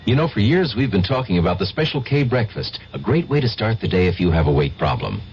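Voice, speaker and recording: A man's voice: sing-song, quick pace, faint music behind. A man reading a radio commercial in a friendly, conversational style. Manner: friendly, conversational sales pitch. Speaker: commercial announcer. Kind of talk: scripted radio advertisement. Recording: dull vintage radio broadcast, faint hiss.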